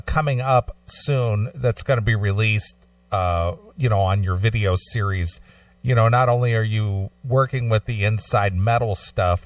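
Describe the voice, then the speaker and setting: A middle-aged man with a lowish voice hosts a radio show, putting questions conversationally. The sound is very dry, though he sits a little away from the microphone. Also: dry recording, distant mic. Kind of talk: conversational interviewing.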